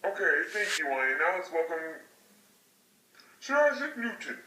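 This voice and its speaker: A man's voice: a little high, sing-song, measured pace, sharp tone, heavily acted. A man voicing an upbeat Superman who hosts an amateur puppet talk show. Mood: bright, brisk. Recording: roomy, distant microphone, faint noise.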